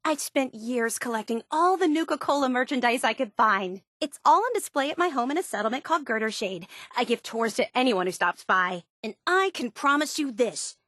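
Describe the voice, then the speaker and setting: An obsessive, wide-eyed young woman fan in a video game. Her voice is high and very animated, recorded close and dry. She speaks with gushing, earnest enthusiasm. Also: a little fast, few pauses, no echo. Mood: proud, cheerful. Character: bubbly, obsessive young woman superfan of a soda theme park. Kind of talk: video game character voice acting.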